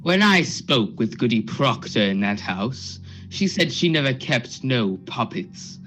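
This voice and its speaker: Faint music sits behind a man acting a court officer in a radio play. He speaks slowly at an average pitch, deferential, careful and matter-of-fact. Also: very animated, a bit bright. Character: deferential male court officer giving testimony.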